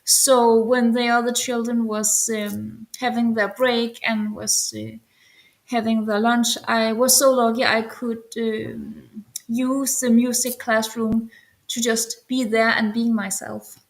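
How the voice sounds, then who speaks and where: Slow, hesitant woman with a light voice. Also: fairly high pitch, flat delivery. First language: not English. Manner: hesitant, searching for words. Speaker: guest musician. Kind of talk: interview answers.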